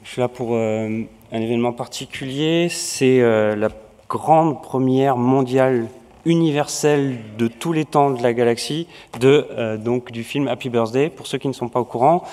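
Hesitant man, smooth voice, big hall. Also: medium pitch, a little mellow. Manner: hesitant, many fillers. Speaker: community film organizer. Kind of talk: stage announcement. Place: large lecture hall. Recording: reverberant hall.

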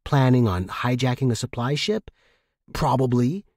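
A man with a husky voice reading a first-person fiction story as a scripted narration. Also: mid-range voice, normal speed, a little mellow, no echo, studio quality.

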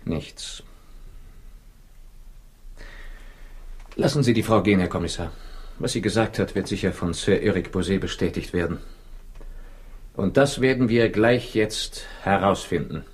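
An actor playing an erudite, ironic investigator in a 1960s radio crime play, with a dry, deliberate delivery. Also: male voice, deep voice, slightly rough. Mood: measured, lecturing. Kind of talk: 1960s German-language radio crime drama acting. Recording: faintly noisy old radio recording.

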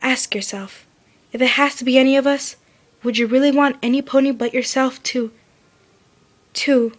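A woman's voice: sits high, steady tone, moderate pace, pitched above the performer's own voice. A woman reads as a stern female unicorn pony with a raised, firm voice. She reasons insistently, like a leader, in a fan story reading. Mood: reasoning.

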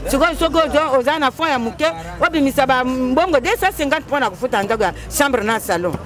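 Middle-aged woman at an outdoor market, very high-pitched, gesturing and animated as she answers a street reporter. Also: a little fast, music in the background. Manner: animated, gestures while talking. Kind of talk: street interview. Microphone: handheld reporter's mic.

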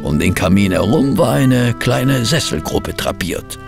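An actor playing an expressive, fussy gentleman who narrates a detective case in a radio play. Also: lowish voice, a little slow, gravelly, over music. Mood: atmospheric narrating. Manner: literary, expressive storytelling.

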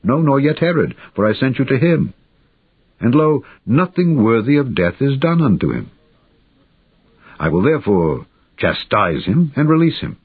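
A stately Roman governor, played by a male narrator reasons firmly and emphatically in a scripture reading. The voice is husky and declamatory. The recording is dry, dull and narrow. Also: medium pitch, big pitch swings, normal speed, dry recording, voice pushed up. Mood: firm, declaring. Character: Roman governor, firm, reasoning, reluctant judge. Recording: muffled, band-limited old audiobook recording.

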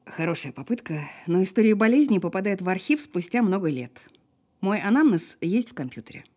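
A woman's voice: medium pitch, lively intonation, darkish tone, close to an everyday voice. An actress playing a wry, guarded woman in an audio drama, sparring with dry sarcasm. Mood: suspicious, sardonic. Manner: dry, sardonic, unhurried sparring. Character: wry, guarded woman who survived a fall. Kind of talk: naturalistic audio drama acting.

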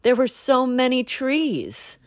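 A woman narrating a children's picture book in a measured read-aloud manner. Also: sits high, sing-song, no room sound. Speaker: storybook narrator. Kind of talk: scripted children's story narration.

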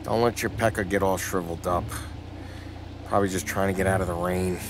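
Brash American mobster sidekick. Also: male voice, deep voice, steady tone, brisk, background noise. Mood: grumbling. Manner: teasing, slangy tough-guy talk. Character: brash, wisecracking, foul-mouthed male mobster sidekick. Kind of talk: dramatic video game acting.

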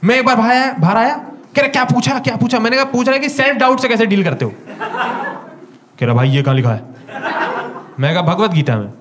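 A young man with an Indian accent doing a stand-up comedy set in a small club, telling stories with animated acted-out dialogue into a handheld mic. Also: very high voice, a little fast, pauses often, a little hiss or hum. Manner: animated storytelling, acting out dialogue. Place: small comedy club stage before a live audience. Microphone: handheld stage mic.

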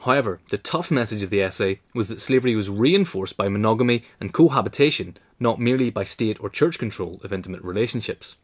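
Fast-paced male narrator. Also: on the low side, expressive. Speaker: narrator, reader. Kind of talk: scripted narration, essay reading.

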